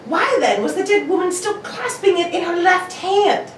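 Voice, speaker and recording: A brisk and confident gentleman detective, acted by a woman, pitched high and very animated. The reasoning comes out precise and probing, with theatrical emphasis. It sounds like a radio mystery recorded in a roomy space. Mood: emphatic reasoning. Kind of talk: old-time radio mystery acting. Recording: roomy, distant, noisy home recording.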